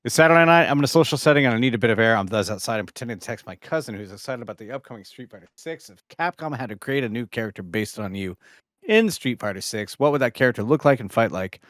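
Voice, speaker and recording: Deadpan man with a lively pitch. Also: quick pace, dry recording, clean studio sound. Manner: deadpan delivery of crude jokes. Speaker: podcast guest. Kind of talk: casual chat, reading listener questions.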